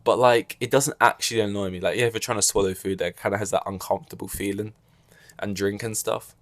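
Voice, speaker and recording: A man's voice: on the low side, fairly even intonation, fast talker, no echo, studio quality. A young man hosting a casual solo podcast, rambling with wry asides and a slightly scratchy throat. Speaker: podcast host.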